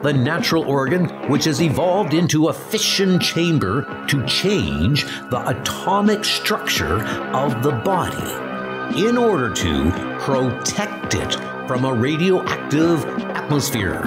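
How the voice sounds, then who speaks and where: A man's voice: fairly high pitch, sing-song, slow pace. A man reading dramatic scripted comic-book narration. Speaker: narrator and character reader. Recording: music under the voice.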